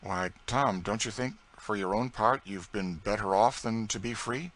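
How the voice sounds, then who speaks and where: A man voicing a melancholy, wealthy gentleman who talks dryly and teasingly. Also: on the low side, moderate pace, lightly acted. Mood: wry. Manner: dry, teasing.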